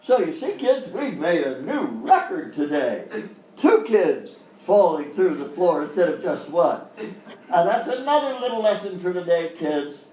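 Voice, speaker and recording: Man playing a high-pitched, chirpy kids' show uncle. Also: sing-song, a little slow, mellow. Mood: breezy. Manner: reassuring, singsong talk to children. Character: avuncular, flustered male kids' show host, relentlessly cheerful. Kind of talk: live radio sketch comedy acting. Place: live performance in hotel venue. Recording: roomy live stage, distant mic, faint noise.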